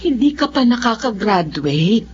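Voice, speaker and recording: A weary mother with swinging pitch. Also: big pitch swings, unhurried, darkish tone. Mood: weary, pleading. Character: weary, enduring, protective mother. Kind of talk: Tagalog radio drama acting. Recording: muffled, faintly noisy audio.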